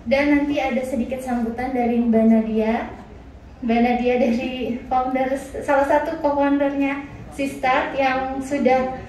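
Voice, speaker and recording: Woman hosting an event, high voice, lots of um fillers, echoey room from far off. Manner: chatty, filler-heavy. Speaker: event host at clinic. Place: clinic showroom, indoors. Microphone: handheld mic, far camera. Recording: echoey room, distant mic, background noise.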